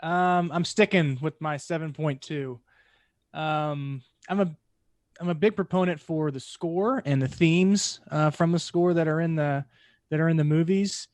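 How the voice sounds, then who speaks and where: A man's voice: a little high, measured pace, darkish tone, no room sound. A young man chatting casually as a panelist on a podcast. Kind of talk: casual chat. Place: home room, indoors. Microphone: close podcast mic on video call.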